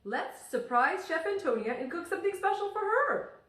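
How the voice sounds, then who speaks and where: A woman's voice: very high-pitched, sing-song, normal speed, fairly bright tone, voice pushed up, exaggerated voice. A woman voicing a cheerful, encouraging male schoolteacher in a children's storybook. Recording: echoey room, distant microphone.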